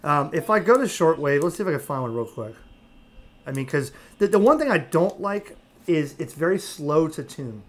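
A man giving a casual, unscripted product review, talking conversationally and haltingly as he thinks aloud. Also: on the high side, very animated. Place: indoors at a table.

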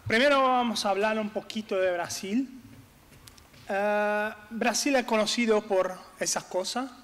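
A man's voice: high-pitched, fairly bright tone. A man in his thirties from Brazil with a Brazilian Portuguese accent, giving a conference talk from a table in a brick-walled hall, hesitating often and searching for words. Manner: halting, searches for words with many fillers. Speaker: conference speaker. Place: large hall with brick walls, on stage at a table. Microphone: desk microphone on stand. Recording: long hall reverb.

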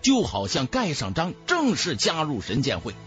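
A man's voice: on the high side, wildly swooping pitch, normal speed, a bit bright. A man narrating a fantasy audiobook in a dramatic, scripted storytelling style. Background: background music.

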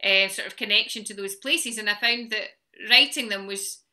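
Bright-voiced woman on a video call. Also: medium pitch, normal speed. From Scotland. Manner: chatty, restarts often. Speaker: novelist on a panel. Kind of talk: casual panel talk. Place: home room with bookshelves.

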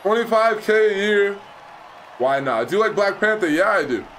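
A man's voice: sits high, animated, normal speed, a bit bright, a faint crowd, slight background noise. Chatty young man streaming a racing game. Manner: chatty, reads and answers chat, laughs often. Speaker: livestream host. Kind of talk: casual gaming livestream chat. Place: small room indoors. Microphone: headset mic.

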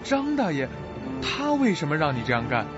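An actor playing a steady, reassuring male manager in a suspense radio drama, coaxing and probing. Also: fairly high pitch, normal speed, music in the background. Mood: puzzled. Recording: dull, dry audio.

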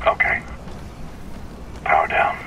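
A man's voice: far from the mic, noisy background. A man playing a stubborn, brooding scientist, weary and curt, dictating audio logs in a video game. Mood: resigned.

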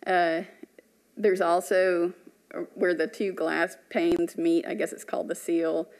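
A woman's voice: on the low side, gravelly, a little mellow, rough recording. A woman homeowner with a light voice speaking at a public hearing, nervous and friendly, with "um" and "uh" fillers. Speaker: homeowner applicant. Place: large municipal meeting room. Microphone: podium microphone.

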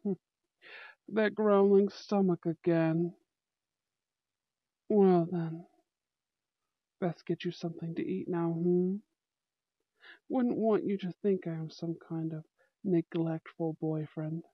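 Woman voicing a slow, smug bad-boy school prince. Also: lowish voice, steady tone, no echo, dull audio, pitched below the performer's own voice. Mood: smug, caring. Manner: commanding, teasing, intimate. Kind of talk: ASMR romance roleplay acting.

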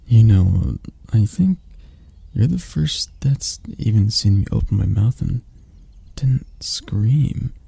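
A predatory creature of the night acted by a man murmurs as a possessive lover in an ASMR roleplay. The voice is low, very dark and mellow, with gravel in it. It teases tenderly and with quiet menace. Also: no echo, exaggerated voice. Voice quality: husky, breathy, gravelly low voice. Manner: slow, teasing murmur with soft chuckles and near-whispers. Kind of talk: intimate ASMR roleplay acting.

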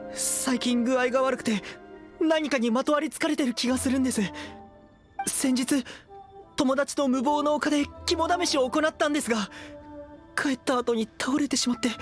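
Timid, frightened young male townsman for an anime game; high, polite, anxious. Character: timid, frightened young man pleading for help.

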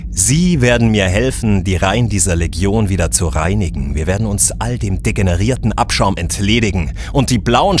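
Casting: male actor as hard, disdainful army general of long service, low voice, sci-fi radio play, noise behind. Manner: commanding, disdainful, formal. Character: stern, contemptuous veteran male military general. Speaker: voice actor in an audio drama. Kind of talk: science fiction audio drama acting.

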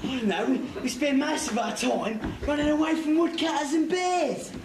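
A woman's voice: sits high, moderate pace, recorded from a distance, close to an everyday voice. A woman playing a bumbling, know-it-all comic henchman in a stage pantomime, explaining things with exasperated patience. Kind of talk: amateur pantomime double act. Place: stage in a hall, recorded from afar. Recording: echoey hall, noisy old camcorder tape.

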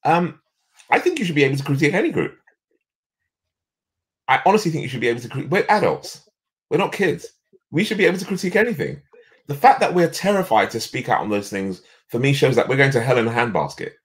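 A middle-aged man with a deep, resonant voice, talking emphatically as a guest on a video call from a home office. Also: quick pace. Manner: animated, emphatic storyteller. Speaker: guest, leadership coach.

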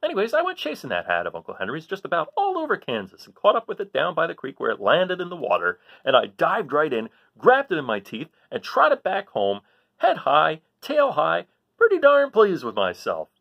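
A man voicing an excitable, boastful farm dog telling a storybook tale in a folksy, dramatic, emphatic way. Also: a little high, moderate pace, gravelly, pitched above the performer's own voice, full character voice. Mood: proud, excited. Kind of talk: animated storybook read-aloud. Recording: dull lo-fi device microphone.

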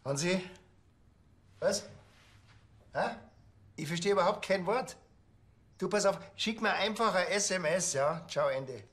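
An actor playing a chatty village police officer. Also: male voice, sits high, expressive, measured pace, pauses often, a bit bright. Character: jovial Bavarian village police officer, man.

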